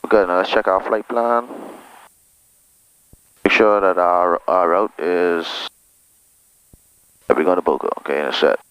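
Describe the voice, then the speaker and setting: Male pilot-instructor, slightly low pitch, casual and reassuring, heard through a cockpit headset with aircraft noise. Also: fairly even intonation, unhurried, pauses often, fairly bright tone, smooth. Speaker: pilot giving flight instruction. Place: small plane cockpit in flight operations. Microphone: aviation headset. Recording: noisy aircraft cockpit intercom.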